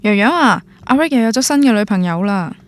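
An actress playing a frank young confidante in a radio drama, speaking with a Guangdong Cantonese accent. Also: female voice, medium pitch, animated, quick pace, close to an everyday voice. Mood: gently frank. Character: frank young woman, the heroine's confiding friend. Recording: music bed under voice.

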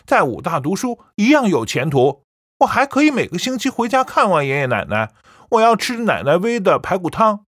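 A woman's voice: medium pitch, sing-song, moderate pace, dry recording, studio quality. A woman doing a cold, defiant young son. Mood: stubborn. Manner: cold, scornful, lecturing.

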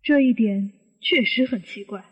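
Woman acting inquisitive middle-aged aunt, high voice, argumentative radio-drama sleuthing, muffled sound with long reverb. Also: moderate pace, slightly rough. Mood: insistent. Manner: questioning, argumentative, reasoning step by step. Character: inquisitive, suspicious middle-aged aunt probing a supposed suicide. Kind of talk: Chinese audio detective drama dialogue.

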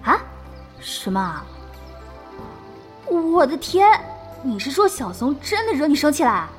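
An actress playing a lively, gossipy young woman in an online game drama, exclamatory and teasing. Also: high-pitched, slow, exaggerated voice. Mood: startled. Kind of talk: modern audio drama acting. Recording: music behind the voice.

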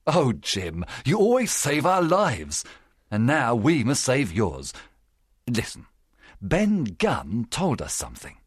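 A man voicing a stern, principled gentleman doctor in an audiobook. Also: mid-range voice, huge pitch swings, slow pace, slightly rough, no echo, clean studio sound, heavily acted. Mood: urgent. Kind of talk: audiobook character acting.

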